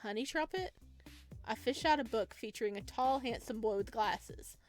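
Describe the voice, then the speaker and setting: A woman with a fairly high voice hosts a let's play, reading narration aloud. She breaks into expressive, casual commentary as she goes. Soft music plays behind her. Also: moderate pace. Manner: expressive, chatty asides.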